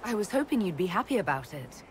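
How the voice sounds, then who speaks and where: A woman voicing a calm, earnest heroic leader in a video game, measured and sincere. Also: mid-range voice, slight background noise, lightly acted, low-quality recording. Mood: calm, friendly. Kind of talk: video game cutscene acting.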